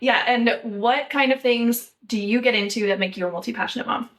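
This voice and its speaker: Measured young American woman, slightly distant. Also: fairly high pitch, a bit bright. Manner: measured, thoughtful, light laughs. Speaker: podcast host. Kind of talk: casual podcast chat.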